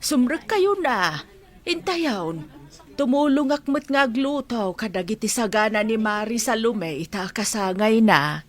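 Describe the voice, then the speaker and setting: A woman's voice: fairly high pitch, animated, measured pace. A woman with an Ilocano accent acting a motherly, bossy neighbor in a radio drama. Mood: brisk, motherly.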